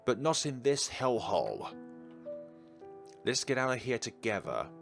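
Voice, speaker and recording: A man's voice: mid-range voice, huge pitch swings, a little slow, vocal fry, music in the background. A man hosting a let's play, reading visual novel text aloud and adding chatty asides. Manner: chatty asides between read-out narration. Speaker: gaming video host.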